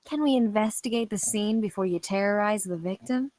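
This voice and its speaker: A woman's voice: mid-range voice, fairly quick, a little mellow, no room sound, natural voice. A woman playing a matter-of-fact, reproving small-town police detective in a screenplay table read.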